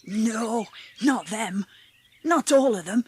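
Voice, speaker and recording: A woman playing a friendly, gentle country boy with a Yorkshire accent in a dramatised audiobook. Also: a little high, lively intonation, slow, slightly rough, heavily acted. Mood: friendly enthusiasm. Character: friendly, rustic Yorkshire moorland boy, gentle nature lover.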